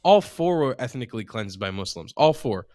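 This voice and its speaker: A man's voice: medium pitch, very animated, normal speed, darkish tone, no room sound, clean studio sound. A man from Israel, a journalist guest on a video call, talking passionately and arguing with rhetorical questions. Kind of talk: casual interview chat.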